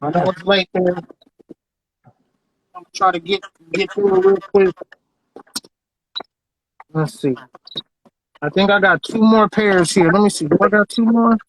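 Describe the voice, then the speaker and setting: A man's voice: high-pitched, fairly even intonation, unhurried, pauses often, a little hiss or hum, poor audio. A man from Los Angeles with an American accent, casually showing off his sneaker collection and often repeating his phrases. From Los Angeles, United States. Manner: repeats phrases, informal slang. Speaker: sneaker collector and designer presenting his pairs. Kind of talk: casual show-and-tell chat.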